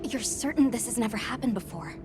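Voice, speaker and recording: Actress as young female hunter, bold, eager, high voice, game cutscene, loud music behind. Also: brisk, close to an everyday voice. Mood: concerned. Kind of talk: dramatic video game cutscene acting.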